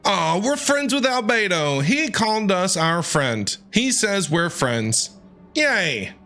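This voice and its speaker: A man's voice: high-pitched, sing-song, slow, sharp tone, faint music behind. A man streaming a video game with casual, jokey, exclamatory commentary, often repeating his phrases. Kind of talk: casual reactive gameplay commentary.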